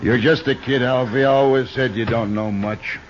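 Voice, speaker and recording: An actor playing a burly, menacing outlaw boss, gruff and bullying, in a 1950s radio western. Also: male voice, medium pitch, steady tone, normal speed, lightly acted. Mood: suspicious, scornful. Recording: muffled, hissy 1950s radio recording.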